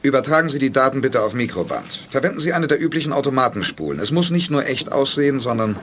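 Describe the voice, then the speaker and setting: An actor playing a resolute, calm spaceship commander who gives precise orders in a 1980s radio play. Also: male voice, medium pitch, fast talker, a little hiss or hum. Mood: calm authority.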